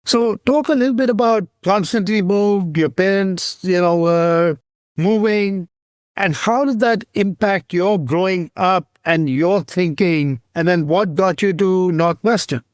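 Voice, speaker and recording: Male podcast host with a higher voice, dry sound, measured interviewer. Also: clear, resonant tone, no echo. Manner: measured, leading questions with a gentle lead-in. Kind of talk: interview questions.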